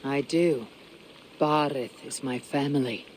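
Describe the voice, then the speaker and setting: An actor playing a blunt, tough Viking raider from Norway with a terse, dry manner. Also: male voice, medium pitch, slow pace, slight background noise, close to an everyday voice, poor audio.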